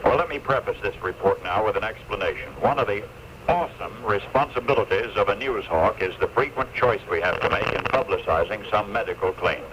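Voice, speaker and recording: An older man giving an earnest, deliberate, folksy commentary as a radio newsman of the 1970s. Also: lowish voice. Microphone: radio played through headphones into cassette recorder. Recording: noisy lo-fi 1976 AM radio recording.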